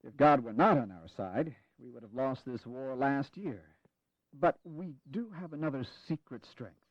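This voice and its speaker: An actor playing a grave, courteous American Revolutionary general in an audio drama, speaking in a measured, formal way. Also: male voice, medium pitch, animated, no echo, lightly acted. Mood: earnest, encouraging. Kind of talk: dramatized history audio acting. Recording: dull, lo-fi 1980s audio drama.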